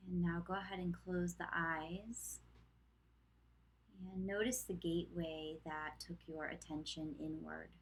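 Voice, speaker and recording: Calm woman yoga teacher doing guided meditation, really slow, flat even tone, gentle cues w long gaps. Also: on the low side, husky, rough recording.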